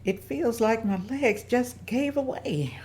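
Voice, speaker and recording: A woman's voice: a little high, takes it slowly, darkish tone, husky. A woman reading the part of an elderly, gracious and determined mother in a table read. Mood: weary.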